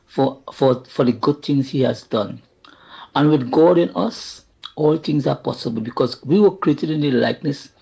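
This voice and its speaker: A man with a Trinidadian accent calling in to a show, speaking in an earnest, preacherly way with a gravelly, husky voice. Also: medium pitch, dark tone. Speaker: caller. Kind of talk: impassioned call-in testimony with religious language. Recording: dull lo-fi call audio.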